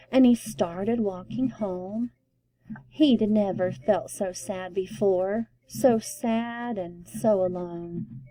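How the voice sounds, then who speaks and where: A woman storyteller giving an expressive read-aloud of a children's book with deliberate, emphatic phrasing. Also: mid-range voice, dry recording, low-quality recording.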